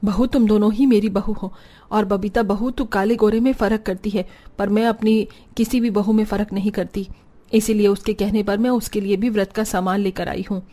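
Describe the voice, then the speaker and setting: Woman voicing a patient, mellow-voiced household woman. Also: mid-range voice, fairly even intonation, normal speed, natural voice. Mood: patient, reasoning. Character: patient, reasonable woman of the household, gently reproving. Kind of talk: Hindi cartoon story dialogue.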